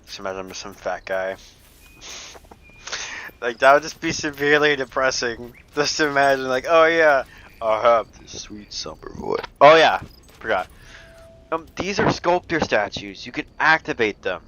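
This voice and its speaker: Young man, let's play commentary, bright tone, loose profane chatter. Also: medium pitch, lively intonation, moderate pace. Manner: rambling, profane, laughs often. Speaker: gameplay commentator.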